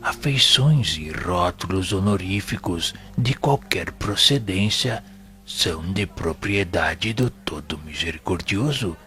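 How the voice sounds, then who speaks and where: An actor with a Brazilian accent playing a wise, paternal spiritual instructor in an audio drama, speaking in grave, formal, didactic oratory. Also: male voice, sits low, animated, slow, bright, forceful tone, heavily acted. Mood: solemn, paternal. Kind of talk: audio drama sermon-like monologue.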